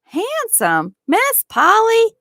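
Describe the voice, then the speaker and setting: A woman voicing a sharp-tongued, indignant servant woman in a storybook, with a snappy, scornful rustic dialect. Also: extremely high pitch, huge pitch swings, very slow, no echo, clean studio sound, pitched above the performer's own voice, full character voice. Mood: incredulous. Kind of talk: storybook read-aloud.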